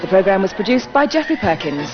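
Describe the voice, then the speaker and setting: A woman's voice: medium pitch, very animated, quick pace, a loud crowd. A woman reading scripted radio programme credits as an announcer. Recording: muffled radio recording, loud theme music.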